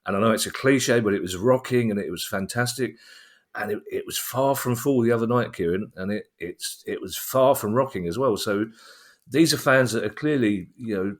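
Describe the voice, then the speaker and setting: A man's voice: fairly low pitch, normal speed. A man hosting a podcast in casual chat, with a wry, wordy, self-deprecating manner. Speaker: podcast host.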